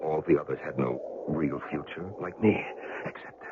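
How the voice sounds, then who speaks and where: Dying older man, bottomless low voice, weak and breathless, halting, insistent, noisy old radio drama recording. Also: narrow, tinny audio. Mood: weak, confiding. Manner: halting, laboured, broken phrases. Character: dying, world-weary older man, gravely injured, insistent.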